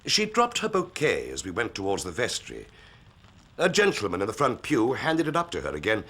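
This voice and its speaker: An actor playing a haughty, touchy aristocrat with an upper-class English accent, pompous and clipped, in a period drama. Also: male voice, fairly low pitch, wildly swooping pitch, fairly quick, a bit bright, slightly rough, full character voice. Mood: reserved, uneasy. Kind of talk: period detective drama acting.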